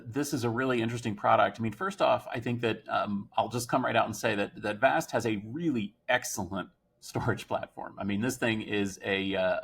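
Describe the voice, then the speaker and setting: A man speaking unscripted as an industry commentator, halting often with hesitant pauses. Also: on the low side, vocal fry, fairly bright tone.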